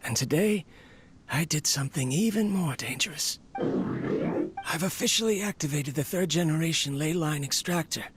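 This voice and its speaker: An actor playing a weary, lonely inventor, reflective and earnest, in a dramatic video game scene. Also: male voice, on the high side, normal speed, loud music behind the voice, natural voice. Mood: earnest, uneasy. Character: weary, lonely male inventor talking to his robot.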